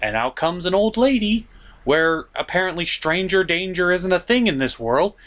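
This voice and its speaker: A man's voice: sits high, animated, moderate pace, a bit bright. A man narrating a comedic film review in a sarcastic, exasperated manner.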